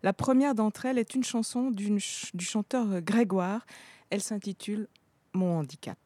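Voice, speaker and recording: Husky, mellow-voiced female radio host. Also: mid-range voice, normal speed, no room sound. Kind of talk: radio interview.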